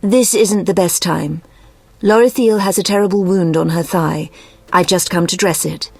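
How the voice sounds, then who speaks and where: Stern motherly healer in a game, raspy and creaky, dry recording. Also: fairly low pitch, measured pace, darkish tone, no echo, heavily acted. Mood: firm, caring. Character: stern, motherly priestess and healer, bossy but caring. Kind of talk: video game dialogue.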